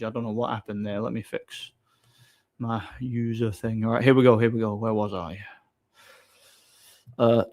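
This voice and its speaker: A man hosting a livestream, chatting casually and off the cuff in a blunt, opinionated, somewhat halting way. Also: medium pitch, a little mellow. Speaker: livestream host. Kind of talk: casual off-the-cuff chat, answering viewer comments.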